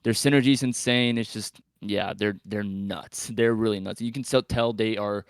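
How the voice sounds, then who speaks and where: A man's voice: fairly low pitch, steady tone, moderate pace, smooth, no echo, clean studio sound. A man chatting casually as a panelist, tentative and rambling, often correcting himself mid-thought. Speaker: podcast panelist. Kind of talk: casual chat.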